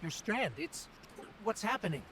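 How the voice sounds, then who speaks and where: A man's voice: high-pitched, sing-song, measured pace, noisy background. A man playing a small, caring robot companion in a video game, earnest and concerned. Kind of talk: scripted video game voice acting.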